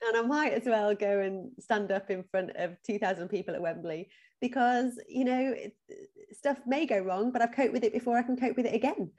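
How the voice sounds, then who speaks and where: A woman's voice: a little high, brisk, darkish tone. A woman, talking earnestly in a podcast interview, with frequent ums and some repeated words. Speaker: podcast guest. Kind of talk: reflective interview talk.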